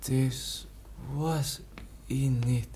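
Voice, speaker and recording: A man playing a dazed, exhausted youth of about seventeen in a German radio play, halting and barely able to form words. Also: medium pitch, fairly even intonation, dark tone, slight background noise. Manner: halting, barely able to speak, repeats fragments. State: utterly exhausted.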